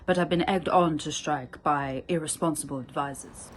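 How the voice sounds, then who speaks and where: A woman with a deep voice reads old newspaper text aloud in a formal style. There is faint ambient noise behind her. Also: moderate pace. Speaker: reader of newspaper cuttings.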